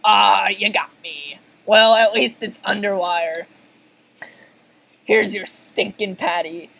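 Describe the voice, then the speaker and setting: A woman's voice: medium pitch, slow, vocal fry, a bit bright, heavily acted, poor audio. A woman voicing a mischievous male crab thief in a cartoon, taunting in a piratical manner. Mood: grudging.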